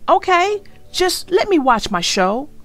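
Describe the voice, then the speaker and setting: A woman's voice: very high-pitched, sing-song, takes it slowly, fairly bright tone, voice pushed up, exaggerated voice. A woman voicing an anxious young schoolboy in a storybook, an exasperated older brother with an earnest, pleading manner. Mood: desperate.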